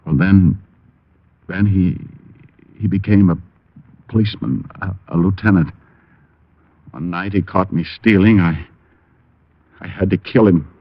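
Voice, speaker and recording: Low-voiced wisecracking radio detective. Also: male voice, measured pace, pauses often, no room sound. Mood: feigned drowsy. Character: wisecracking, cool-headed male private detective. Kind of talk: 1940s-50s radio drama acting with narration. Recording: muffled old radio recording with music.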